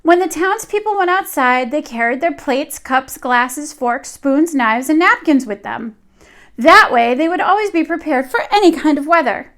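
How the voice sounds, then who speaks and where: A woman with a high voice reads a picture book aloud to young children. She sounds like a teacher at storytime, shaping each phrase with deliberate expression. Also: animated, fairly bright tone.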